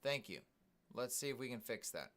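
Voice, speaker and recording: A man hosting a live day trading stream, calmly commenting on his trades and greeting viewers in the chat. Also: medium pitch, moderate pace. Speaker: day trading livestream host.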